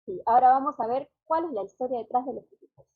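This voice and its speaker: A woman's voice: high voice, quick pace, phone-quality sound, a little hiss or hum. A woman in her thirties chatting casually as a co-host, often seeking agreement with tag questions. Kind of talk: casual chat.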